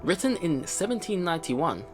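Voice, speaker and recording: Chatty higher-pitched man, lively delivery. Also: normal speed. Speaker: let's player. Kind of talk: reading a visual novel aloud with commentary. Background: visual novel game music.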